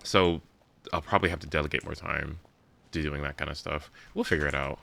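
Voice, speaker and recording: A man's voice: a bass voice, fairly even intonation, brisk, vocal fry, fairly bright tone, a little hiss or hum. A young man chatting casually and rambling on a video game livestream. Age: young adult. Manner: rambling, informal. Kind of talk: casual live stream chat. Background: game music.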